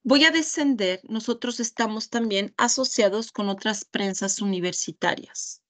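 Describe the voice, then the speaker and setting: A woman with a Mexican Spanish accent leading a webinar over a video call, walking through a website step by step in a deliberate, pausing way. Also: medium pitch. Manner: slow, deliberate, pausing often. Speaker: webinar trainer. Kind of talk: screen-share product demonstration.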